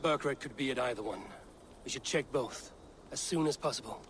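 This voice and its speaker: A man's voice: mid-range voice, normal speed, fairly bright tone, slight background noise, lightly acted. A man playing a steadfast, self-assured Viking warrior in a video game, speaking directly and with control. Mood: businesslike. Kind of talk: dramatic video game acting.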